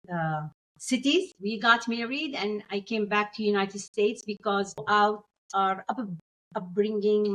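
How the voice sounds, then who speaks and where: A woman's voice: medium pitch, rough recording. Syrian-raised woman dentist telling her story. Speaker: dentist, guest. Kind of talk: interview storytelling.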